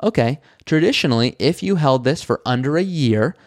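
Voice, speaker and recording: A man working as a financial planner, explaining retirement tax strategy in a brisk, conversational way and voicing the questions he imagines listeners asking. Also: medium pitch, lively intonation, no echo, studio quality.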